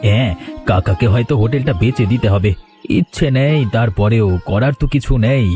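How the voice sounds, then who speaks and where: A man's voice: mid-range voice, fairly quick, mellow, soft background music, close to an everyday voice. A man voicing a younger helper at a village eatery in a cartoon, plain and chatty. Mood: resigned. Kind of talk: cartoon voice acting.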